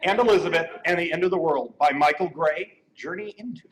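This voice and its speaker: High-voiced wisecracking man at a noisy live event. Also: a little fast, a bit bright. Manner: wisecracking, irreverent. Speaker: award presenter. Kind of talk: joking ad-libbed live stage banter. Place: convention stage. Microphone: stage microphone.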